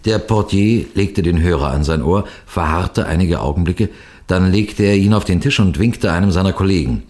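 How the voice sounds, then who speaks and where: A man playing a seasoned, composed police detective who narrates a 1960s radio crime drama in a measured, precise, formal way. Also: low-pitched, darkish tone, lightly acted. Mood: grave, suspenseful. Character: seasoned male police detective telling his cases, composed, authoritative.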